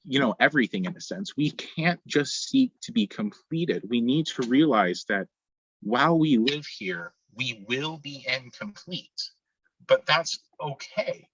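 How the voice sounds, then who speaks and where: A man's voice: medium pitch, animated, unhurried, a bit bright, husky, no room sound, dull audio. A man speaking in an earnest, reflective way as a writer discussing his own stories. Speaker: storyteller and writer.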